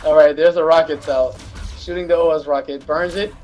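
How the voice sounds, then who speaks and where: A man's voice: on the high side, fairly even intonation, a little fast, darkish tone, soft background music. A man doing loose, joking play-by-play commentary on a fighting game tournament. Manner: hype, loose, jokes with co-caster. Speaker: esports commentator.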